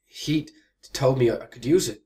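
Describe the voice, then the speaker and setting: An actor playing a frightened young worker with a halting stammer in a radio play. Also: male voice, medium pitch, mellow, natural voice. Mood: nervous, defensive.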